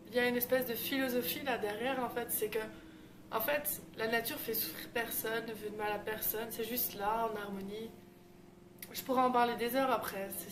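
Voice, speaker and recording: A young woman chats in a loose, filler-heavy vlog style, her voice a little high. She sounds some way off the mic in an echoey room, with clear background noise. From Belgium. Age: 20s. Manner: chatty, lots of fillers. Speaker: YouTuber. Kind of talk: casual vlog chat. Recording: roomy echo, distant mic, noisy.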